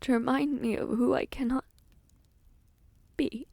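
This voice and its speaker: A woman playing an anguished, self-loathing young princess in a dramatic audio roleplay, speaking in a formal, halting way. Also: sits high, steady tone, gravelly, darkish tone, no echo, exaggerated voice. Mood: ashamed, afraid.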